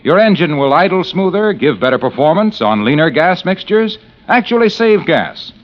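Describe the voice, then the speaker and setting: A man's voice: a little high, very animated, moderate pace. An American man announcing and selling on a 1940s radio show in an emphatic, salesmanlike style. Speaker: radio announcer and sponsor pitchman. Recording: muffled vintage radio broadcast.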